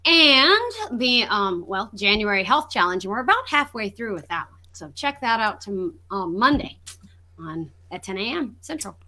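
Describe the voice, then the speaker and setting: Bright, lively woman on a video call. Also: mid-range voice, moderate pace. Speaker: guest channel co-creator. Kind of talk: casual chat on a stream.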